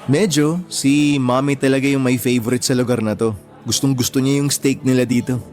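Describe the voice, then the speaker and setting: Young Filipino man played by an actor, medium pitch, attentive and insistent suitor in radio drama, faint music. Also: fairly even intonation, moderate pace, darkish tone, lightly acted. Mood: relaxed. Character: Justin, attentive, insistent young man courting his friend.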